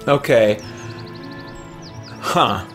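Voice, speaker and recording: A man's voice: mid-range voice, fairly bright tone. Casual man streaming over background music. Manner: halting, thinking aloud. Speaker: video game live streamer. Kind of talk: casual livestream chat while gaming. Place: small indoor room with shelves.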